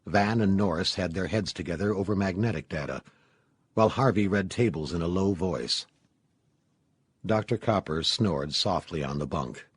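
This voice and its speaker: Male audiobook narrator, very low and husky, scripted dramatic horror storytelling. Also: dry recording.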